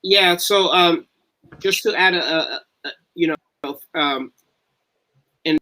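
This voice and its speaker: A man on a panel giving scholarly explanation in halting, deliberate phrases. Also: a little high, bright, forceful tone, rough recording. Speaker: panelist, academic speaker.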